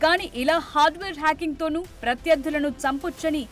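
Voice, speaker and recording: Woman, high-pitched, scripted news narration, faint music behind. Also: fairly even intonation, quick pace.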